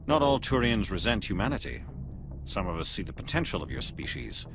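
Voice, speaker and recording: A composed alien elite agent for a video game. His voice is deep, with lively movement in pitch, yet the delivery stays measured and formal. He sounds coolly pragmatic and sure of himself over a clear music bed. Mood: cool, appraising.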